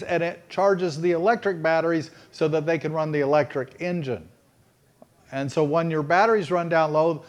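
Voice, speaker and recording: A middle-aged man with a Texas accent preaches in a folksy, storytelling way. His voice sits a little high for a man. He slows down to lean on the phrases he wants to land. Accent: Texan. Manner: folksy and conversational, with emphatic slowed phrases. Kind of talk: sermon-style storytelling.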